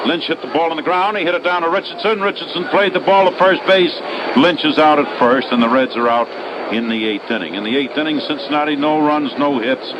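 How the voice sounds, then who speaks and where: 1960s radio baseball announcer, noisy old broadcast. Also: male voice, fairly high pitch, fairly quick, muffled recording. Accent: American. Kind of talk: 1960s radio sports commentary. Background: loud stadium ambience and noise.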